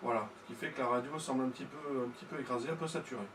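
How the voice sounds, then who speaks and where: A man's voice: mid-range voice, rapid-fire. A man speaking as an amateur radio operator, chatting casually and explaining technical details in a hesitant way. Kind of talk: casual ham radio conversation. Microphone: studio-style mic through tube preamp. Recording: roomy sound with faint noise.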